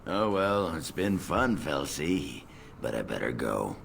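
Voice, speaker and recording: An actor playing a crude, boastful dwarf warrior in a fantasy video game, with guttural, coarse, swaggering banter. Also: male voice, extremely low pitch, very animated, takes it slowly, a bit bright, a little hiss or hum, full character voice. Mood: gruff teasing. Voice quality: gravelly, guttural.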